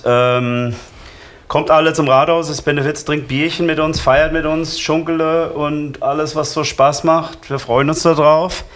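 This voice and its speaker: A man's voice: fairly high pitch, a bit bright. A middle-aged man from Franconia, a carnival club member, talking casually with many fillers. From Lower Franconia, Germany. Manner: rambling, hesitant with many fillers. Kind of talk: casual chat.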